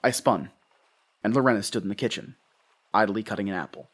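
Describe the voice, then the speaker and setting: An actor playing a haunted, grieving father, narrating a horror audio drama in the first person with somber, deliberate phrasing. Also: lowish voice, noisy background, close to an everyday voice. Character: haunted, grieving father recounting his ordeal. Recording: loud guitar music under narration.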